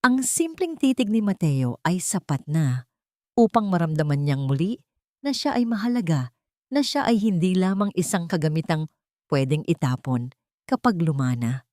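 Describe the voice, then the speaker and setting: A woman reads a dramatic scripted story in an emotive, measured way. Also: on the low side, very animated, mellow, no echo, studio quality. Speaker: story narrator.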